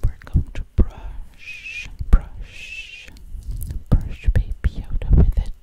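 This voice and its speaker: A woman's voice: drawn-out words, muffled recording. A woman whispering breathily and soothingly, close to the microphone in ASMR style, repeating words over and over. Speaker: ASMR creator.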